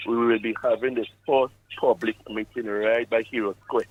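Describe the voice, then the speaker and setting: A man's voice: medium pitch, little pitch movement, normal speed, no echo. A man with a Grenadian accent calling in by phone to make an announcement to listeners. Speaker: caller. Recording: thin narrowband phone line.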